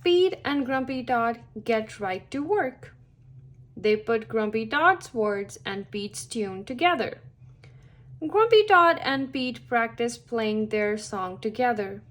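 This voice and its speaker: A woman reading a picture book aloud to young children, with expressive, deliberate phrasing. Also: fairly high pitch. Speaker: children's story reader.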